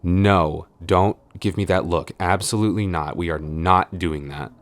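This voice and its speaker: A man's voice: low voice, fairly even intonation, unhurried. A man playing a flustered tsundere boyfriend and coworker, grumbling but smitten, in an intimate, hesitant, mock-scolding ASMR audio roleplay. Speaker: voice actor.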